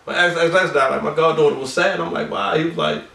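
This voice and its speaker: A man co-hosting a casual podcast chat, speaking in a reflective, halting way. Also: on the high side, vocal fry, fairly bright tone. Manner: laid-back, reflective, halting. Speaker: podcast co-host. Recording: roomy sound, mic at a distance.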